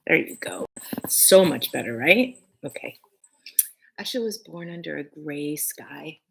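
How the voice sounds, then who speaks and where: A woman's voice: sits low, lively intonation, creaky, breathy edge. A woman teacher reading a picture book aloud to children expressively, with short phrases and casual asides. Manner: addresses young listeners, pausing between short phrases.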